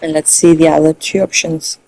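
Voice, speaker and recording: A gaming host giving casual, low-key let's play commentary, thinking aloud. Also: flat delivery, fairly quick, a little mellow, no echo, low-quality recording.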